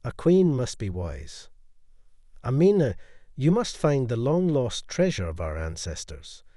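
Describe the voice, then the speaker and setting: A man acts out a mighty, aging male chief from a storybook folktale, grave and wise. He declaims with big rises and falls in a mellow tone, recorded dry and close. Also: medium pitch, huge pitch swings, unhurried, no room sound. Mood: commanding.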